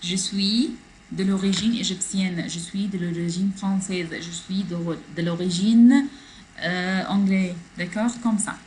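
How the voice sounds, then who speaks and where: A woman teaching French in an online class, reading a text aloud and explaining it slowly, switching between French and English. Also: medium pitch, fairly even intonation, a little mellow, a little hiss or hum, low-quality recording. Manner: switches between French and English, slow, repeating phrases for learners. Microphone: computer mic in a video class.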